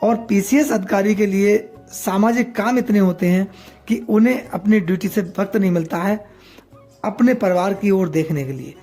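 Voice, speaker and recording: A man's voice: sits high, normal speed, dark tone. A man delivering opinionated news commentary to viewers, moralizing and posing rhetorical questions. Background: background music.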